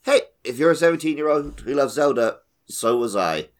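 A man's voice: medium pitch, expressive, normal speed. A man in his thirties co-hosting a gaming podcast in casual, conversational style. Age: 30s. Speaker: podcast co-host.

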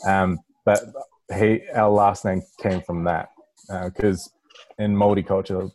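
A New Zealand man with a low-pitched voice and a soft, mellow tone. He talks in a casual, conversational way, like someone telling a personal story among friends. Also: flat delivery, measured pace, slight background noise, rough recording. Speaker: podcast participant telling own journey.

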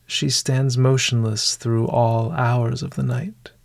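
A man narrating a scripted bedtime meditation as a podcast host, in a hushed, deliberate manner with long pauses. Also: medium pitch, level tone, gravelly, no echo.

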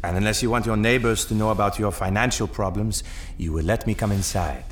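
A man's voice: lowish voice, a little fast, a little hiss or hum, natural voice. An actor playing a proud, controlling husband and father in an audio drama. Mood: firm, insistent.

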